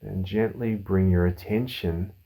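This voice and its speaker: A man leading a guided meditation as a mindfulness teacher, giving calm, soothing, unhurried instructions with pauses. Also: low voice, creaky, poor audio.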